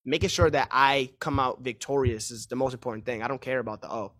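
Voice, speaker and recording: A man in his twenties, a fighter answering press questions on a call, confident and repeating himself in fast run-on answers. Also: medium pitch, fairly bright tone. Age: 20s. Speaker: mixed martial arts fighter.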